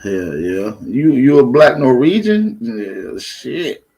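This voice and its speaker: A man talks slowly and teasingly in a casual group chat, roasting with heavy profanity and slang. There is clear noise behind his voice. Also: medium pitch, big pitch swings. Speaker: panel participant. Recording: noisy line with clear background noise.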